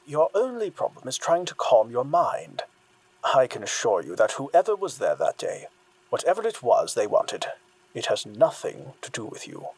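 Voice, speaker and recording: An actor playing a calm, controlling counselor in an audio drama, with a husky, gravelly voice, measured and insistent. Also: medium pitch, dry recording, low-quality recording. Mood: reassuring. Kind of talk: scripted audio drama acting.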